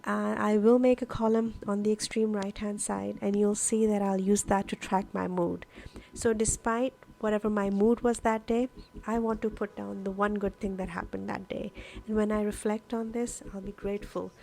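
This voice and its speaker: Creaky-voiced woman narrating, native Urdu speaker. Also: mid-range voice, flat delivery, normal speed, mellow, low-quality recording. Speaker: journaling vlogger, host. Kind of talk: casual explanatory voice-over narration of a craft process. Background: music.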